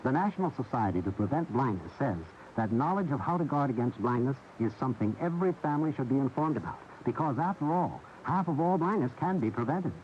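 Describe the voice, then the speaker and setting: A man's voice: medium pitch, animated, quick pace, hardly any pauses. Male radio announcer, muffled broadcast. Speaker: public service announcer. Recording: dull, faintly noisy AM radio tape.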